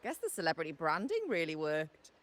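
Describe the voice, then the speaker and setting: Blunt, shrewd tavern keeper. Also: female voice, deep voice, big pitch swings, brisk. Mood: wry, sizing up. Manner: blunt, wry, familiar. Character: brisk, shrewd, no-nonsense female tavern keeper. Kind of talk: video game character acting.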